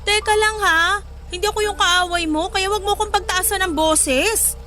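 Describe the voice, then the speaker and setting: An actress playing a sensitive, chiding young wife with a Filipino accent in emotional, colloquial radio drama dialogue. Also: female voice, extremely high pitch, normal speed, bright, forceful tone, faint music behind. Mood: hurt, chiding.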